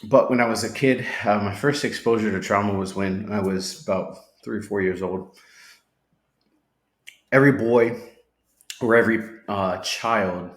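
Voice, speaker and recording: Man, very low voice, sincere reflective testimony, roomy echo. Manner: sincere, reflective, pauses and restarts. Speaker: podcast guest. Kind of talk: personal testimony.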